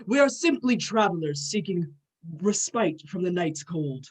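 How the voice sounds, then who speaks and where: A proud thunder god played by an amateur reader, grand and archaic in speech and gruff in temper. The voice sits in a middle register, in the manner of a dramatic myth reading. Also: medium pitch, lively intonation, measured pace, rough recording. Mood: courteous, proud. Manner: formal, archaic, grand phrasing.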